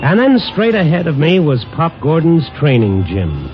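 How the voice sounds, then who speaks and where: A man's voice: on the high side, huge pitch swings, normal speed. A man acting a streetwise, world-weary American newspaper reporter with a wry, hard-boiled manner in 1950s radio noir style. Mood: brooding, wry. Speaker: lead actor and first-person narrator. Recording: old muffled radio recording, music behind.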